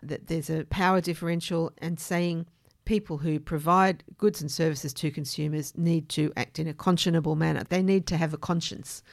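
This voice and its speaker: A woman with a fairly low voice and noticeable vocal fry talks as a podcast host. She explains in a measured, informal way, and the sound is dry with little room to it. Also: steady tone, no room sound.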